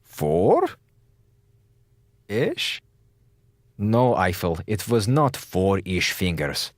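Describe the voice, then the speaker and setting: Menacing station doctor, swooping pitch. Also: male voice, big pitch swings, no echo, clean studio sound, heavily acted. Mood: stern. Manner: formal, deliberate, condescending, clipped grammar. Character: menacing, coldly patient male station doctor. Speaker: actor in radio drama. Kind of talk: radio drama acting.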